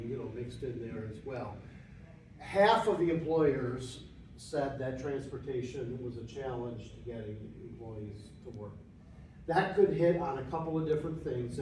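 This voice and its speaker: A man's voice: medium pitch, darkish tone. A man presenting findings to a panel audience, in a conversational way with frequent restarts and fillers. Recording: echoey room, mic far off, faint noise.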